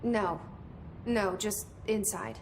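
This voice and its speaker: Determined young game heroine: mid-pitched, terse, understated, with slight noise behind. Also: female voice, takes it slowly, a little mellow. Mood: guarded, resolute. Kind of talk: scripted video game dialogue.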